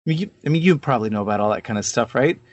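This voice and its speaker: A man's voice: mid-range voice, animated, racing, highs cut off. A man hosting a casual interview, informal and enthusiastic as he chats with his guest. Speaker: podcast host and interviewer. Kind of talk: casual chat.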